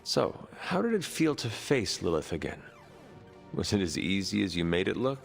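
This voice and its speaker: An actor playing a formal, old-fashioned young man in a video game, the son of a demon queen, with a gravelly voice. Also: deep voice, sing-song, normal speed, faint music behind, heavily acted. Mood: calm. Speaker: game character. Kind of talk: video game cutscene acting.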